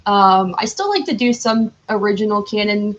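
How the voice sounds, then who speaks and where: A woman from the United States speaks at a medium pitch as a podcast guest. Her talk is casual and rambling, and she often corrects herself mid-thought. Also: animated, moderate pace, lo-fi sound. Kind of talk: casual chat.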